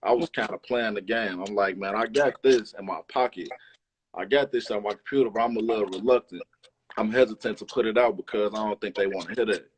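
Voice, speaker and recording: Low-voiced slangy man chatting casually. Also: fairly quick, slightly rough. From Chicago, United States. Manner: slangy, profane, informal. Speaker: rapper, interview guest. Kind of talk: casual chat. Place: remote livestream interview.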